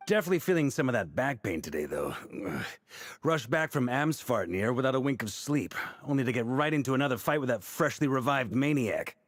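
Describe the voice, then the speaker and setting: An actor playing a seasoned, easygoing knight commander in a video game, self-assured and casual with a wry streak. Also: male voice, mid-range voice, expressive, brisk, no room sound, close to an everyday voice. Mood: casual, serious.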